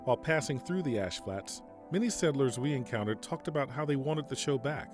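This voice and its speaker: A man playing a stern, principled army general in an audio drama, speaking formally and deliberately. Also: medium pitch, lively intonation, over music, close to an everyday voice. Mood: formal approval. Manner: formal, measured, deliberate speech. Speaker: military general giving a radio interview.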